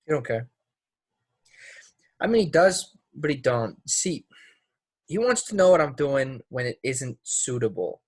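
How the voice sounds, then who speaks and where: A man's voice: mid-range voice, very animated, unhurried, long pauses, darkish tone, breathy edge, no echo. Man playing a guarded, troubled teenage boy. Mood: hurt, brooding. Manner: guarded, folksy ungrammatical talk. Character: troubled, defensive teenage boy, small-town drama student. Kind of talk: play readthrough, dramatic dialogue.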